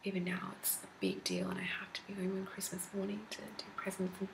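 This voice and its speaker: A young woman in her twenties whispers a rambling, chatty ASMR talk, laughing now and then. Her whisper is slightly deep and gravelly. The laptop recording is grainy, with a clear hiss behind her voice. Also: very animated, fast. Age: 20s. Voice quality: slightly deep whisper, not soft-spoken. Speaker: ASMR creator. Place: candlelit room at home. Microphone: laptop mic.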